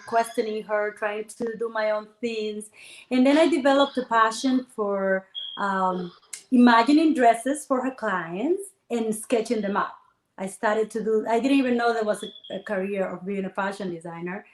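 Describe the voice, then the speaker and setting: A woman from the Dominican Republic, a guest entrepreneur telling her story in a lively, animated conversational interview. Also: medium pitch. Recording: echoey room.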